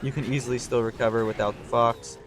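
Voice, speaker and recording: Young male gameplay commentator, slightly hoarse, mid-pitched voice, thinks out loud, faint noise behind. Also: fast talker. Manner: talks through his thinking, excitable on big plays. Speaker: gaming channel host.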